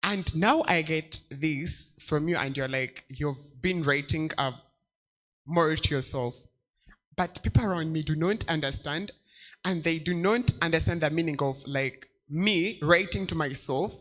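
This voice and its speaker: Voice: mid-range voice, measured pace, fairly bright tone, low-quality recording. Hesitant young audience questioner. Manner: hesitant, searching for words. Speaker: audience member asking a question.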